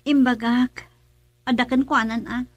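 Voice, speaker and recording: Brief: actress as a high-voiced, nagging Ilocano wife, dry mic. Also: female voice, flat delivery, normal speed, no echo, lightly acted. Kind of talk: radio drama acting.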